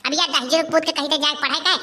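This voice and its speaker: Woman playing an exasperated, sharp-voiced Awadhi village mother. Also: high-pitched, fairly even intonation, fast talker. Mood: complaining. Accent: Awadhi, eastern Uttar Pradesh. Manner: scolding, complaining, colloquial. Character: exasperated, hot-tempered village mother of a truant boy. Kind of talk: dialect comedy cartoon voice acting.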